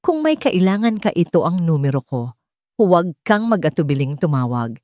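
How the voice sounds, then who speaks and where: Calm, protective man, voiced by a woman narrator. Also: on the low side, normal speed, no echo, studio quality. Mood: calm, reassuring. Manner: calm with a trace of worry, serious. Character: calm, protective, concerned male benefactor. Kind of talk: dramatic audiobook dialogue.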